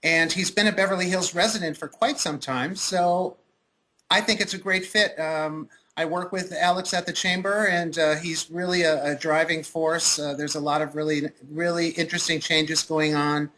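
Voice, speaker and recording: A man co-hosting a video-call interview, speaking in a relaxed, measured way with many uhs. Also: fairly high pitch. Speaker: co-host. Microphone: headset.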